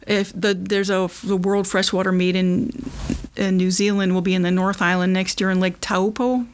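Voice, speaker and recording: A middle-aged woman telling easygoing stories about diving and cooking in a casual interview. Her speech is level and flat in pitch, and she often restarts her sentences as she talks. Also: normal speed. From Kentucky, USA. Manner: chatty, warm storytelling with frequent restarts. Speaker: interview guest, spearfisher.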